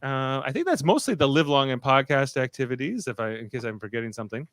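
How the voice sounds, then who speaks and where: A man's voice: medium pitch, expressive, fairly bright tone, no room sound, clean studio sound. A man hosting a podcast in casual unscripted chat, rambling and often interrupting himself. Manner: halting, rambling, self-interrupting. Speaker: podcast host.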